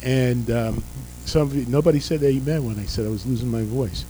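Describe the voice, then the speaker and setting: A man's voice: mid-range voice, a little fast, warm tone, faint music behind, low-quality recording. An older man, a pastor, losing his voice, leading a church service with prayer and folksy, joking announcements. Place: church sanctuary.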